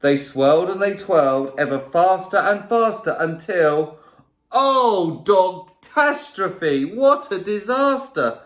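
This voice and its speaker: A male primary school teacher reading a rhyming picture book aloud to children in a playful, lively way. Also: sits high, slow pace, roomy sound.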